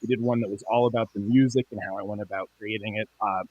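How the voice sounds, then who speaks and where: A man's voice: lowish voice, fairly even intonation, fast talker, a little mellow, no echo, lo-fi sound. A man who co-created and scored an audio drama, eagerly explaining the show's extras in a casual interview.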